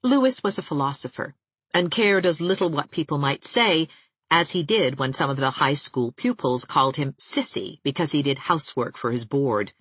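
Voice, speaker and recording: A woman reading literary fiction aloud as an audiobook narrator, with an expressive storytelling delivery. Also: low-pitched, normal speed, husky, no echo, phone-quality sound.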